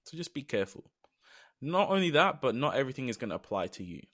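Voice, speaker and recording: A man's voice: medium pitch, big pitch swings, quick pace, a bit bright. A young British man co-hosting a podcast, talking in an earnest, reflective way with long trailing phrases. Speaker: podcast co-host. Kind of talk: casual podcast chat.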